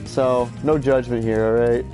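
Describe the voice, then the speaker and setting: A man's voice: medium pitch, little pitch movement, a little slow, poor audio. Brief: thirties guy streaming a game, stuffy, rambling, swearing, game music faintly behind. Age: 30s. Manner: rambling, profane, opinionated. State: stuffy, short on sleep. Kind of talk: casual gameplay chatter.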